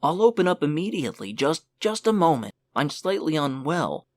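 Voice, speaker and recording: A man voicing a flustered, pleading young clerk in an audiobook, hurried and apologetic. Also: fairly high pitch, very animated, a little mellow, dry recording, studio quality, voice pushed up. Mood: anxious pleading. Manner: hurried, excuse-laden, apologetic. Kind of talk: audiobook character voice.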